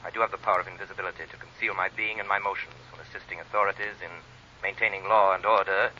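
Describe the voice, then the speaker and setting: An actor in a vintage radio drama playing a calm, invisible male crime fighter with a formal, authoritative, measured manner. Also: low voice. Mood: calm authority. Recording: muffled, noisy old radio recording.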